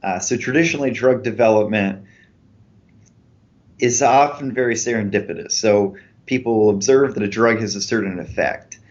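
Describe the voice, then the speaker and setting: A man being interviewed about his research explains it step by step, giving examples. His voice sits fairly low. The podcast audio is muffled and lo-fi. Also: moderate pace. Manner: clear, methodical explaining with examples. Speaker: researcher guest.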